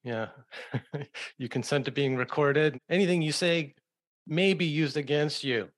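A man's voice: a little high, measured pace. A man hosting a podcast, speaking in a casual conversational style. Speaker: podcast host.

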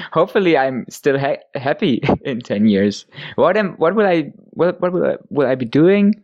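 A man's voice: fairly high pitch, animated, unhurried, lo-fi sound. Young guy, giggly and sleepy-voiced, easygoing interview guest. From Germany. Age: young adult. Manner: giggly, restarts words, chatty. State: sleepy, tired voice. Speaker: guest singer. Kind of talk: casual interview chat.

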